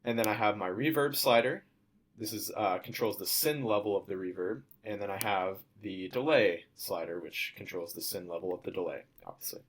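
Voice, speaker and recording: A young adult man presenting as a student, walking through a software demo in an informal, explanatory way. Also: deep voice, normal speed, slightly rough. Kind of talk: casual software demo walkthrough.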